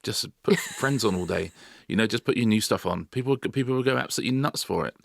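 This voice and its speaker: A middle-aged English man co-hosts a podcast in quick, joking chat, laughing often. His voice sits very low and gravelly. The sound is dry and close to the mic. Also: no echo. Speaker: podcast co-host. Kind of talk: casual chat.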